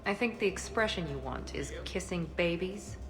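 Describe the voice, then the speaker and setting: A woman's voice: on the low side, expressive, normal speed, natural voice, low-quality recording. A woman playing a self-assured former operative in a video game, composed and precise with a dry edge. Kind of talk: scripted game dialogue. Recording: music under the voice.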